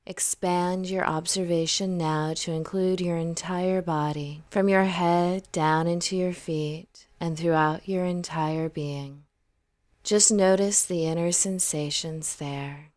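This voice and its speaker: A woman with a slightly low voice leads a guided meditation very slowly. She is gentle and soothing, letting her phrases trail off softly. The sound is close and very dry, as in a scripted voice-over. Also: dry recording.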